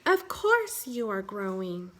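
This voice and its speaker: A woman reading the part of a calm, reassuring mother in a children's storybook, patient and plain-spoken. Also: mid-range voice, slow pace, background noise, pitched below the performer's own voice.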